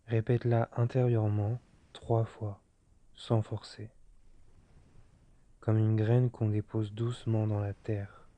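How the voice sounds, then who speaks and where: A man guiding a yoga nidra relaxation in a soft, soothing, unhurried manner, leaving long pauses. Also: fairly low pitch, flat delivery. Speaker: meditation guide.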